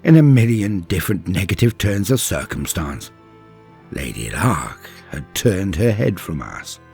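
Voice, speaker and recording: A man playing a grave, embittered space-marine veteran, solemnly narrating a dramatic war story in a husky voice with deliberate, heavy pauses. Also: low-pitched, very animated, a little mellow, faint music behind, full character voice. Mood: grave, bitter. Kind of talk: dramatic scripted first-person story narration.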